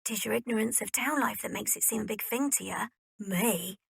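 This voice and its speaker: Woman as cocky young serving lad, West Country accent, gravelly rasp, dry close sound, boastful period drama. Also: fairly high pitch, normal speed, no echo, exaggerated voice. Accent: English West Country. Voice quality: raspy, creaky. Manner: boastful, cheeky. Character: cocky teenage servant boy putting on town airs. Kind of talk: period audio drama acting.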